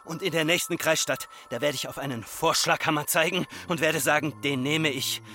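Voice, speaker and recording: An actor playing a farmer's embittered grown son, speaking in reproachful, incantatory repetitions in a literary radio drama. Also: male voice, on the high side, normal speed, pushed and bright, a little hiss or hum. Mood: bitter.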